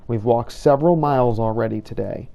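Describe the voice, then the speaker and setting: Guy telling his game story in first person, mellow warm voice, wry. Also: mid-range voice, fairly quick, creaky, dull audio. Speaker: game player narrating a role-play. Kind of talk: first-person role-play narration.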